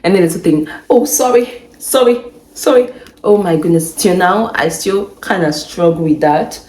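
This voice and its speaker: A young Nigerian woman with a low voice talks through her advice in a relaxed, chatty way. She laughs easily and circles back to repeat her points. The sound carries a noticeable room echo. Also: moderate pace. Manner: chatty, laughs often, repeats herself for emphasis. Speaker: vlogger. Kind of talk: casual advice vlog. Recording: echoey room.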